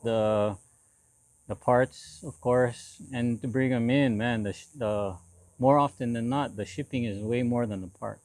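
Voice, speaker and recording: Man in his forties from Hawaii, halting delivery. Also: mid-range voice, fairly even intonation, a little mellow, no echo. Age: 40s. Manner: halting, repeats phrases and affirmations. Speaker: interview guest, lowrider builder. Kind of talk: casual conversational interview.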